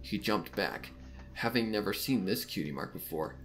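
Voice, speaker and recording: Low-pitched, expressive male story narrator. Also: moderate pace. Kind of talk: dramatic scripted narration. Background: soft background music.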